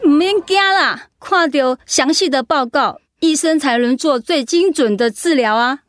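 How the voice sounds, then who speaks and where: An actress playing a steady, supportive friend in a radio drama, soothing and encouraging. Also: female voice, high-pitched, a little slow, no room sound, studio quality. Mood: reassuring. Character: supportive, steady female friend, comforting and practical.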